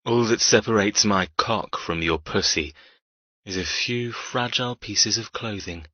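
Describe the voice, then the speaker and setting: A man playing a menacing, seductive lover in an audiobook romance, slow and controlled, with a commanding manner. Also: lowish voice, sharp tone, no room sound, lo-fi sound.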